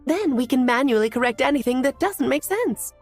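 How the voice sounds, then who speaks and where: A woman voicing an elegant, whimsical, self-assured sorceress in an anime game, breezy, genteel and gently teasing. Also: high voice, expressive, brisk, soft background music. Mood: confident.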